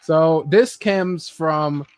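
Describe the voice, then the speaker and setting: Casual rambling man hosting a panel. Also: fairly high pitch. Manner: rambling, profane, halting. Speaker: host of a panel show. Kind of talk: casual pop-culture panel chat.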